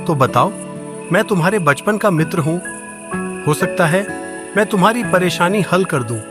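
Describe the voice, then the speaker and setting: A man's voice: high-pitched, animated, moderate pace, music in the background. A man playing a helpful older village friend in a Hindi cartoon story, reassuring and confident. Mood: concerned. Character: helpful old childhood friend of the farmer.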